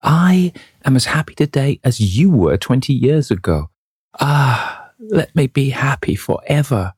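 A man's voice: mid-range voice, big pitch swings, unhurried, a little mellow, slightly rough, no room sound, studio quality, pitched above the performer's own voice. A man voicing an eager, lovestruck young woman in a storybook reading, gushing and breathless. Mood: rapturous.

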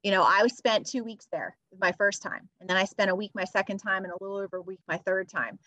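A woman's voice: medium pitch, fast, bright, forceful tone, dry recording, lo-fi sound. A woman teacher talking in an earnest, upbeat way as a podcast guest, with many "you know" fillers. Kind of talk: podcast interview. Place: near Philadelphia.

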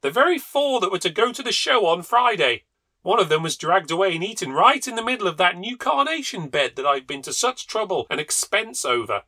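A man voicing an agitated, fussy husband who keeps poultry and a flower garden, speaking raised and excitable. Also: sits high, fairly quick, dry recording, voice pushed up, exaggerated voice.

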